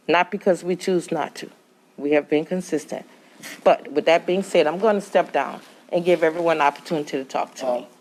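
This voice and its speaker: A woman with a big, carrying voice addresses a public hearing as executive director. She speaks plainly and directly, in a fairly low register. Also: a little mellow, poor audio. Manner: direct, plainspoken, reassuring the residents.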